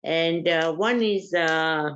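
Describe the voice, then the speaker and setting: An older woman from Uganda, a family elder being interviewed, talking in an unhurried, hesitant way with frequent pauses. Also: low voice, a bit bright, highs cut off.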